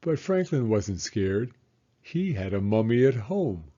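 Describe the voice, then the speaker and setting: A man reading a children's storybook aloud in an expressive, deliberate storytelling manner. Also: lowish voice, dull audio. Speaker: storybook reader. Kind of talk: children's read-aloud narration.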